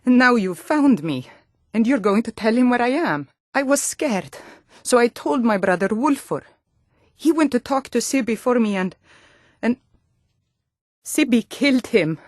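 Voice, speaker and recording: A woman's voice: fairly high pitch, lively intonation, unhurried, pauses often, no echo, clean studio sound. A woman voicing a proud, blunt adult Nordic townswoman in a fantasy video game, with earnest, dramatic delivery. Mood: frightened, pleading. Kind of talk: scripted fantasy game dialogue.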